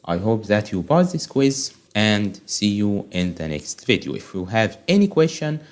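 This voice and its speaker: A man's voice: lowish voice, very animated, unhurried. A man with an Arabic accent, a native Arabic speaker, giving an informal step-by-step screen tutorial as an online instructor, often checking in with "okay?".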